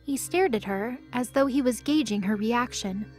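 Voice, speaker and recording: A woman's voice: a little high, moderate pace, darkish tone, soft background music, lightly acted. A woman narrator reading scripted third-person narration for an audio drama.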